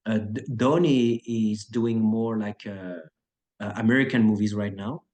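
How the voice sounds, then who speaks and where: A man's voice: on the low side, a little slow. Chill guy in his 30s rambling thru an interview, lots of uh and like, choreographer type. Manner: relaxed, rambling, many fillers and restarts. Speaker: action choreographer and actor. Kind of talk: casual interview chat.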